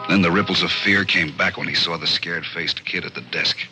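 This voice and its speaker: Casting: man as noir storyteller, vintage radio; extremely low voice, terse, grim, loud music underneath. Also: steady tone, fairly quick, natural voice, poor audio. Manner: terse, dramatic. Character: hard-boiled male crime story narrator, grimly cool. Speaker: narrator. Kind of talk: scripted noir narration, 1940s radio.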